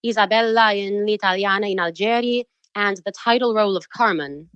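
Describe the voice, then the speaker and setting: Articulate woman with a smooth voice. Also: medium pitch, fairly quick, a bit bright, no room sound, muffled recording. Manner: precise, explanatory, articulate. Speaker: guest, opera singer. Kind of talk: casual interview talk.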